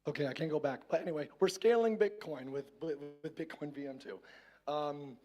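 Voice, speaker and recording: A man with a hoarse, somewhat high voice talks from a conference main stage. He speaks casually and often trips over and repeats the first words of a phrase. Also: a little fast, darkish tone, soft background music. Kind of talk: tech conference presentation.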